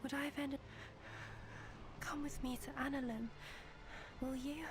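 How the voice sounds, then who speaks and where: Anxious high-voiced young survivor. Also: female voice, fairly even intonation, measured pace. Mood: pleading. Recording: game audio with noise behind.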